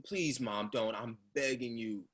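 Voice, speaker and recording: A man's voice: mid-range voice, measured pace, a bit bright, natural voice. A man reading a frightened son who pleads with his parents in a stage play. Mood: pleading, afraid. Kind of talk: readers theatre play reading. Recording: noisy, clicky remote call.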